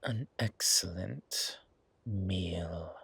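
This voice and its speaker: Seductive ageless vampire played by a man, low-pitched, unhurried, hushed and menacing, for horror ASMR roleplay. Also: gravelly, breathy edge, full character voice. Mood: menacing, soothing. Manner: slow, deliberate, hushed, soothing yet menacing. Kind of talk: ASMR horror roleplay, intimate monologue to the listener.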